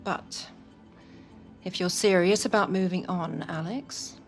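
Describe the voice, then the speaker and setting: A woman playing a measured, businesslike football club manager in a video game cutscene, with music behind. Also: fairly low pitch. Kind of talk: cinematic game cutscene acting.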